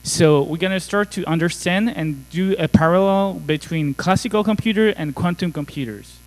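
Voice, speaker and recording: A man's voice: high voice, normal speed, poor audio. French-accented man giving a casual tech talk. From France. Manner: casual, joking, filler-heavy. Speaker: conference speaker. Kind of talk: technical conference talk. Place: conference hall.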